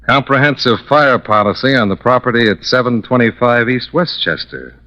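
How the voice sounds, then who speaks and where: A cool, probing American investigator from a vintage radio mystery. He speaks with a bright, hard edge in short, dry questions and terse first-person narration. The sound is the dull, narrow one of an old broadcast. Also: mid-range voice, expressive, moderate pace. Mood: wry, suspicious. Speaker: actor playing the lead in a radio detective drama.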